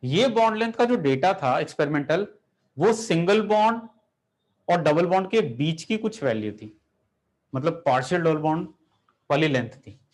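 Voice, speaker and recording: A man's voice: on the high side, moderate pace. A man teaching chemistry, lecturing a class and turning the topic into a story, asking the students questions as he goes. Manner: animated, rhetorical questions, addresses students directly.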